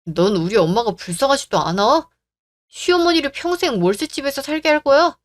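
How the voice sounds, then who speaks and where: A woman's voice: high-pitched, expressive, fairly quick, voice pushed up. A woman voicing a pushy, sneering young fiancé. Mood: angry. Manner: demanding, sneering. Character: entitled young male fiancé, pushy and sulky.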